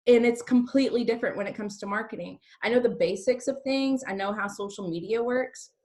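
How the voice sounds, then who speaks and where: A woman's voice: fairly high pitch, fairly quick, a little mellow. A woman in her early thirties giving an informal motivational talk to an online group as a co-hosting team leader, chatty and peppering her sentences with "like". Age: early 30s. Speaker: direct-sales team leader co-hosting a training.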